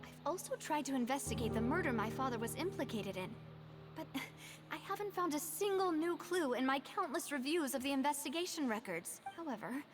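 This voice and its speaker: A woman playing a composed, polite and earnest young leader of an underground society in a video game. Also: sits high.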